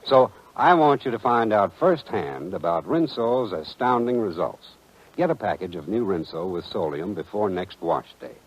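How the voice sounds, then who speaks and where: A man working as a radio announcer, delivering a direct, persuasive 1940s advertising pitch in a husky voice. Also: big pitch swings, normal speed. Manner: direct, persuasive address to the listener. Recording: muffled vintage radio recording.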